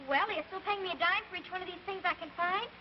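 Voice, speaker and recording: A woman's voice: extremely high pitch, very fast. An actress playing an eager, innocent little girl with an American accent in a 1950s radio drama. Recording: muffled old radio, faint noise.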